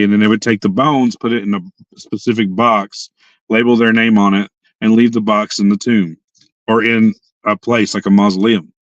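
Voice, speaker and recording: A hoarse young man hosting a casual livestream chat from his parked car, rambling and often restarting his sentences. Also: fairly low pitch, dry recording, dull audio. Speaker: livestream host.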